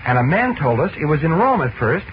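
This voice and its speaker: A man's voice: on the high side, sing-song, fast talker. A breezy teenage boy. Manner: breezy, teasing. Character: cheerful, teasing teenage boy. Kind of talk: 1940s radio acting. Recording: muffled old radio broadcast with hiss.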